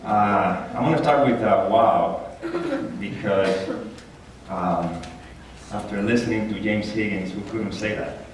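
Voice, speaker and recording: A man with a Puerto Rican accent giving an earnest, animated inspirational talk as a guest speaker at a church. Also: slow pace, noisy background. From Puerto Rico. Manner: earnest, animated, rises in pitch when stressing a point. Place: large church hall. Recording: echoey hall, taken from far off.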